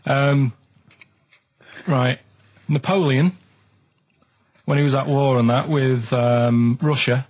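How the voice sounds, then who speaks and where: A man's voice: mid-range voice, steady tone, slow pace, long pauses, dull audio. A man with a Manchester accent chatting casually on radio in a plain-spoken, meandering, colloquial way. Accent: Northern English, Manchester. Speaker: radio show co-host. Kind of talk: rambling casual radio chat.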